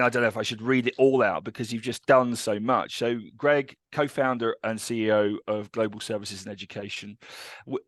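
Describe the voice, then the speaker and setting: A man hosting a casual podcast interview, chatty and halting with many restarts and fillers. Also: fairly low pitch, fairly bright tone, no echo. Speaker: podcast host.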